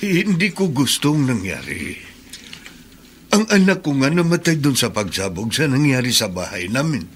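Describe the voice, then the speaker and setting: A man's voice: mid-range voice, sing-song, moderate pace, a little hiss or hum. An actor playing a humble, pleading middle-aged father, hesitant and earnest, in a Filipino radio drama.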